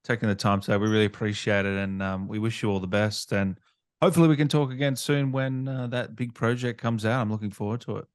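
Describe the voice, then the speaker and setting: A man's voice: fairly low pitch, steady tone, fast, clear, resonant tone. A man hosting a casual interview in a polite, appreciative manner. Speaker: interviewer, host.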